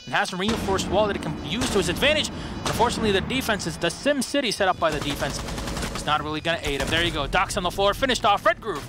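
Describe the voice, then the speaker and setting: A man in his twenties doing play-by-play commentary on an esports match, excitable and quick to rise on big plays. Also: high-pitched, loud music behind the voice. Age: 20s. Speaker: esports caster. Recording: loud gunfire from the game behind. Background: game gunfire and effects.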